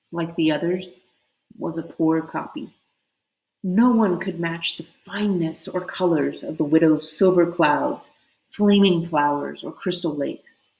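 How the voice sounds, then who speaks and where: A woman reading a story aloud as an expressive narrator, with deliberate, dramatic emphasis. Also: on the low side. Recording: echoey room.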